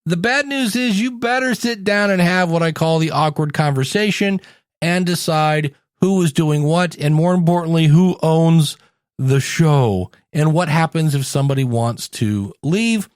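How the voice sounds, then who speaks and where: An American man with a fairly high voice talks as a podcast host and coach. He explains things conversationally, speaking straight to the listener. The sound is dry and close, as if at a desk microphone. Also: a little slow, smooth, dry recording. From United States, Ohio. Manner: conversational, addresses the listener directly. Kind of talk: solo podcast talk, teaching.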